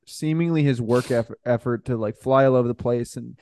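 Halting, casual man co-hosting a podcast. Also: mid-range voice, warm tone. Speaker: podcast co-host. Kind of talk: casual chat.

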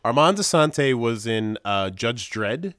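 A man's voice: mid-range voice, lively intonation, takes it slowly, a bit bright, no room sound, clean studio sound. A man hosting a casual movie podcast, laid-back, chuckling often and letting his sentences trail off. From New York State. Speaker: podcast host. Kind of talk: casual chat.

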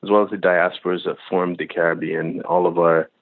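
Deep-voiced man on a tinny phone line. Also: level tone, fairly quick, dry recording. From Barbados. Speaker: filmmaker guest. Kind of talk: reflective interview answers.

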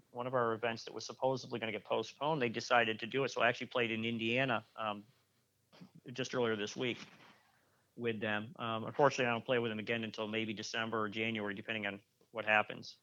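A man's voice: fairly low pitch, level tone, fast, a bit bright, lo-fi sound. A man talking casually as a guest musician, rambling with frequent restarts and fillers. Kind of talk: casual interview chat.

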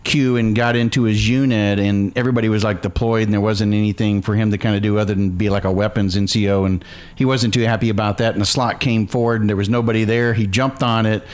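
A man's voice: on the low side, fairly even intonation, fast talker, smooth. A man hosting an interview podcast, asking questions in a chatty, rambling way with fillers, in a conference room. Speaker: podcast host. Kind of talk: casual interview chat. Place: conference room at regimental headquarters.

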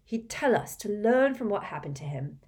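A wry, blunt teenage boy wizard, read by a woman narrating a storybook. His dry delivery swings up and down with a lively melody. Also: medium pitch, moderate pace, voice pushed up, natural voice.

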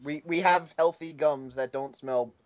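A man's voice: fairly high pitch, measured pace. Matter-of-fact man in his thirties. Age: 30s. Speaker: podcast guest, actor and improviser. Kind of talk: casual comedic chat. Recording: dull lo-fi podcast audio.

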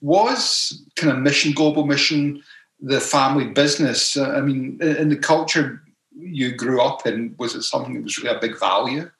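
A man's voice: mid-range voice, moderate pace, a bit bright. Man, interviewer, casual questions, roomy echo. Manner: probing follow-up questions, some restarts. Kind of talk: conversational interview.

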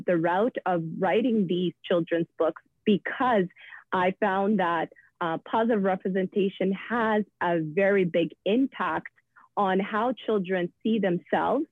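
A woman's voice: medium pitch, no echo. Earnest woman speaking over a tinny phone line. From Africa. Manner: earnest, thoughtful, pauses with frequent fillers. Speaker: children's book author and guest. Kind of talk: reflective interview answer. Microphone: phone or call-in line.

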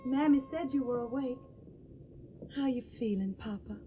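Woman acting high-voiced devoted daughter. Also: moderate pace, mellow, muffled recording, faint music behind, close to an everyday voice. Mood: coaxing, anxious. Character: devoted, anxious young Southern daughter. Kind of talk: radio drama acting.

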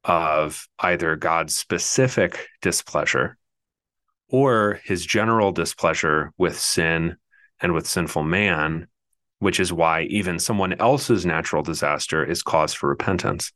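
Guy with a really deep voice, casual podcast chat, explains stuff calmly, dry mic. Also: unhurried, no room sound. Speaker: podcast co-host. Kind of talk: casual theological discussion.

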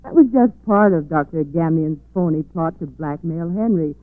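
An actress playing a detective's skeptical, affectionate female companion, brisk and poised, in 1940s radio style. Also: low voice, animated, dry recording. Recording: muffled narrow-band 1940s radio recording.

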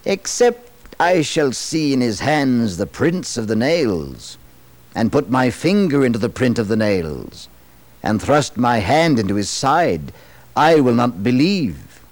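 Man reading as incredulous apostle Thomas, slow-paced. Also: mid-range voice, big pitch swings, no echo. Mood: scornful disbelief. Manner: emphatic archaic scriptural diction. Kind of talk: 1960s dramatized religious radio reading.

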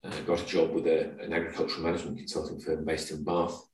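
A man in middle age speaks in a very deep register, sounding roomy and distant from the microphone. He is from Zimbabwe and explains his points conversationally, pausing to find words as an interview guest. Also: completely flat delivery, a little mellow. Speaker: podcast guest.

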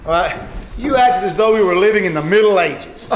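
A man's voice: high-pitched, expressive, fairly quick, a crowd in the background. A man playing an exasperated, falsely brave father in an old-time radio comedy, blustering with sarcasm and stammers. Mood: smug scolding. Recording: echoey live winery stage recording, clear noise.

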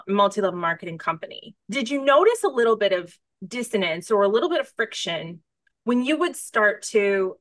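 A woman's voice: mid-range voice, fairly bright tone. A woman hosting a casual podcast interview, talking in an effusive, emphatic way and rambling off on tangents. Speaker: podcast host.

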